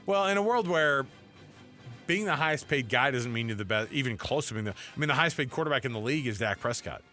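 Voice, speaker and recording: A man's voice: medium pitch, expressive, fast talker, bright, forceful tone, faint music behind. An American man co-hosting a sports talk show, bantering casually and reacting with sarcastic disbelief.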